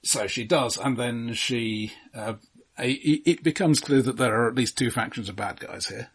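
Bloke on a film podcast, bit raspy, understated and dry, casual recap style. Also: male voice, medium pitch, normal speed, fairly bright tone. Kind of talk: casual plot summary and commentary.

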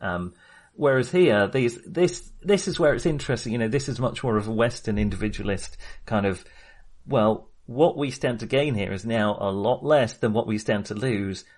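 A man's voice: fairly low pitch, normal speed, a little mellow. A man co-hosting a film discussion, talking casually and analytically as he thinks aloud in an informal, chatty way. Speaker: film podcast co-host.

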